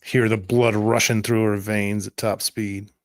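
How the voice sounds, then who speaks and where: A man's voice: fairly low pitch, little pitch movement, measured pace, dry recording, studio quality. A man narrating an improvised tabletop roleplaying game as game master.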